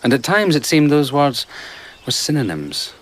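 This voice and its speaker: An actor playing a charming male conjurer and traveller in a radio drama, telling tales in a hushed, showman-like way. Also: a little high, sing-song, normal speed. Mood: theatrical.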